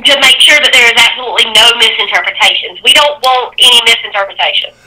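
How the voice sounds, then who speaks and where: Very bright-voiced woman on the phone. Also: fairly high pitch, quick pace, poor audio. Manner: firm, procedural. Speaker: district attorney's office receptionist. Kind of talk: phone conversation.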